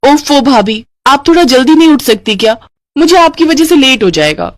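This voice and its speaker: A woman's voice: high voice, lively intonation, moderate pace, voice pushed up. Woman voicing a haughty, vain young daughter-in-law. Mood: scornful. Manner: sharp, condescending. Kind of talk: cartoon story voice acting.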